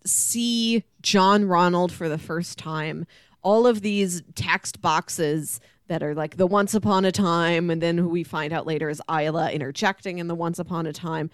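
A woman's voice: lowish voice, steady tone, a little slow, smooth, no echo, clean studio sound. A woman chatting casually as a guest co-host, informal and reflective in manner. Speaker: guest co-host discussing books. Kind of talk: casual chat.

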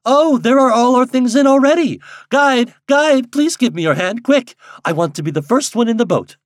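A man voicing a raised-pitch merry, headstrong lady. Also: animated, no echo, clean studio sound. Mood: excited, commanding. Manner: brisk, playful, exclaiming. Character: merry, headstrong society woman, confident and impulsive.

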